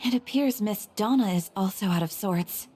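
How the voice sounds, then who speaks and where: An actress playing a composed, helpful woman receptionist in a video game, measured and polite with calm concern. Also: medium pitch, breathy edge, close to an everyday voice. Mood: calmly concerned. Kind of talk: video game character acting.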